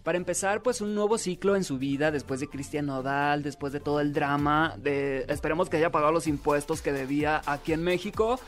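A man's voice: on the high side, a little fast, few pauses, faint music behind. A man with a Mexican accent hosting a radio gossip show, chatty and playful, leaning on catchphrases.

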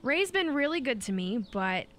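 A woman's voice: high-pitched, sing-song, normal speed, fairly bright tone. A woman playing a polite, practical and somewhat hesitant young mother in a radio play.

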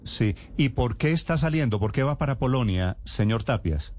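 A man hosting a news interview, asking direct, brisk questions. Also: medium pitch, animated, a little mellow. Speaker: radio news host.

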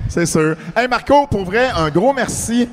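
A man hosting a live podcast with a Québécois French accent, shouting energetic introductions of his guests. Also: sits high, a little slow, faint music behind. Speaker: podcast host. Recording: long reverb of a live venue.

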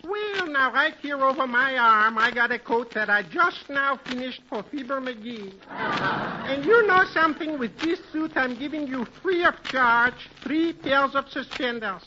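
An actor playing a cheerful peddler with wheedling sales patter and little hoots, in 1940s radio comedy style. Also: male voice, very high voice, animated, measured pace, muffled recording, heavily acted. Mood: eager selling. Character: cheerful fast-patter male peddler, comic old friend. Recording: bright thin hissy 1940s radio recording.

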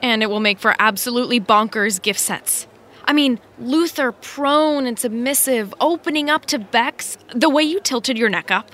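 An actress playing a brisk, teasing, bossy female production assistant, punchy and no-nonsense, in a naturalistic audio drama. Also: on the high side, a bit bright, natural voice.

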